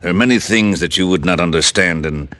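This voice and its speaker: An actor playing a big, imperious plantation owner in an old radio drama, formal and measured. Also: male voice, low voice, a bit bright. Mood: haughty, guarded. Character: big, imperious male plantation tycoon with a temper.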